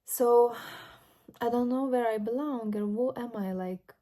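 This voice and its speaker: Earnest woman with a mellow voice. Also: fairly high pitch. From Lithuania. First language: Lithuanian. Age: late 20s. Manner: earnest, personal, unhurried with fillers. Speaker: vlogger. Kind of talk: reflective vlog monologue. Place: grandmother's home indoors.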